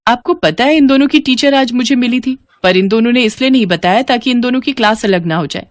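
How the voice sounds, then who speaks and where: Woman as a fretting, animated mother. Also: on the high side, fairly quick, voice pushed up, close to an everyday voice. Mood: complaining. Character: concerned, fretting mother of twin daughters.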